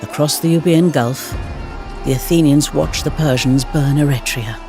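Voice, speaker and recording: A man narrating history for game cutscenes as a measured, dramatic storyteller with wry asides. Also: on the high side. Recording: music under the voice. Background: background music.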